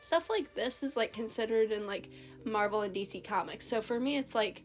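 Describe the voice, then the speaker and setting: Young woman rambling about her art, bit hoarse from being sick, lots of "like", faint music behind. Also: on the high side, moderate pace. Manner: chatty, rambling, many "like" fillers. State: slightly hoarse from a recent illness. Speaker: artist commenting on a character redesign. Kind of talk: casual voice-over commentary. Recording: voice-over with faint background music.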